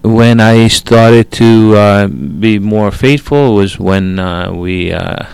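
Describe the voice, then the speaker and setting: A middle-aged man from Italy speaks slowly in a low, gravelly voice. He gives a personal faith testimony, stopping often and restarting his sentences. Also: a little mellow, dry recording. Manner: halting, many fillers and restarts. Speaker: guest father. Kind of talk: faith testimony, casual talk.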